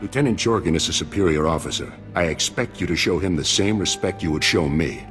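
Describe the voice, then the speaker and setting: A man playing a composed special-forces commander in a video game, speaking in a measured, authoritative, formal way. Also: sits low, fairly bright tone, faint music behind. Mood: firm. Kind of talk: video game cutscene acting, briefing.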